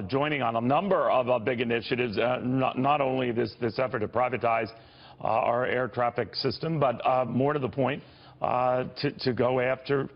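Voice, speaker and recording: A male television interviewer with a strongly creaky, gravelly voice at a middling pitch. He feels his way through each phrase haltingly, pausing often, on slightly muffled broadcast audio. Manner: halting, hesitant phrasing with drawn-out pauses. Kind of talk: live news interview.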